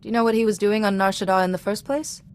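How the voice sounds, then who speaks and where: An actress playing a calm, principled Jedi diplomat in a video game, offering composed, gently admonishing counsel. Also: female voice, mid-range voice, clear, resonant tone, natural voice. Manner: composed, measured wording, advisory. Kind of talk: video game character dialogue.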